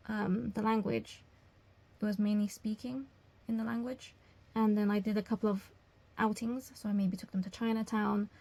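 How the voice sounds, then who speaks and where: A young woman answering job interview questions as a language teacher, explaining things in a measured way. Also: mid-range voice, gravelly, dark tone. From England.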